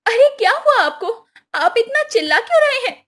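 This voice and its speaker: Village wife for a moral-tale cartoon, female actor: extremely high-pitched, fretful, scolding, exclamatory. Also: sing-song, normal speed, fairly bright tone, full character voice. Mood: worried. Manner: questioning, exclamatory. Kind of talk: Hindi cartoon moral story voice acting.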